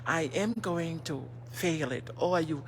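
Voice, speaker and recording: A woman's voice: low voice, measured pace. Brief: middle-aged Tongan woman, native Tongan speaker, earnest livestream pep talk on a phone, faint hum behind. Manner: earnest, asks rhetorical questions and answers them; switches between languages mid-sentence. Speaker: livestream host. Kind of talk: motivational livestream chat.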